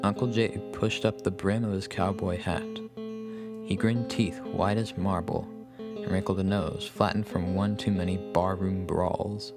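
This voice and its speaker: An actor playing a solemn, bookish loner recalling his boyhood, narrating a scripted horror story with an American accent and grave, measured phrasing. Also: deep voice, fairly even intonation. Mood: sombre. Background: guitar music.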